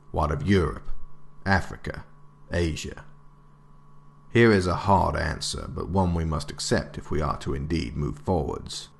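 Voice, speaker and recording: Male actor as resolute official, rock-bottom pitch, creaky gravel, grave, deliberate, authoritative, formal audio drama narration. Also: full character voice. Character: stern, resolute male government director writing a survival handbook.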